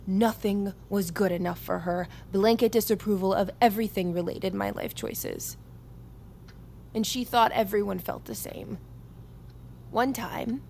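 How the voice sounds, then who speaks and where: A woman's voice: mid-range voice, darkish tone, close to an everyday voice. Casting: actress for young American army private, female, blunt, argumentative, raw with grief; audio drama, roomy sound with faint noise. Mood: bitter. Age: young adult.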